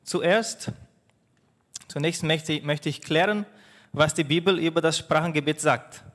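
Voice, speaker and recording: A man's voice: fairly high pitch, a bit bright, long reverb tail. A man whose first language is Portuguese, preaching a sermon as pastor, often restarting his phrases with fillers. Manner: halting, with frequent restarts and fillers.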